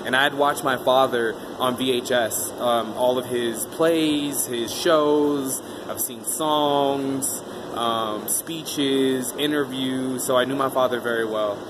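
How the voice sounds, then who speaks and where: A man tells his own story in a loose, rambling monologue, often stopping for an um. His pitch sits in a middle range. A clear air-conditioner hum runs under his voice throughout. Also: steady tone, slow. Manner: rambling, many um fillers. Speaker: vlogger interviewing himself. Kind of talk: casual self-interview monologue.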